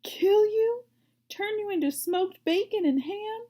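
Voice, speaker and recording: A woman's voice: extremely high pitch, expressive, takes it slowly, warm tone, pitched above the performer's own voice, exaggerated voice. A woman voicing a gloomy, snappish old female sheep in a storybook, emphatic and matter-of-fact. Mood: blunt.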